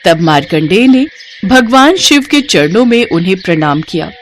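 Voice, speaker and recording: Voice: medium pitch, sing-song, normal speed, a little hiss or hum. A narrator reading a scripted devotional myth story.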